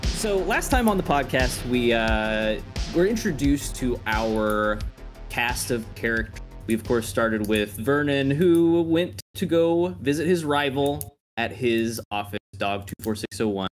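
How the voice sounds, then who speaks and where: A man's voice: mid-range voice, animated, unhurried, soft background music. A man running a tabletop game as game master, recapping the last session in a laid-back, chatty way.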